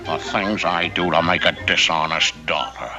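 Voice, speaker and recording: A man's voice: low-pitched, moderate pace, close to an everyday voice. An actor voicing a grumbling, reluctant male crook in a 1960s TV cartoon, with a sarcastic drawl. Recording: music bed under dialogue.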